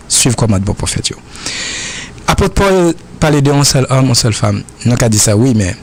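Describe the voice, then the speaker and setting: A man's voice: mid-range voice, a little hiss or hum. Earnest Haitian man answering questions. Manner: earnest, repetitive, halting phrasing.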